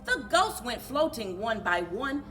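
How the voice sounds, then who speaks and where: A woman reading a children's storybook aloud in an animated, rhythmic singsong for a library story time. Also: on the high side, a little slow, soft background music. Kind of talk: children's storybook read-aloud with chanted refrains.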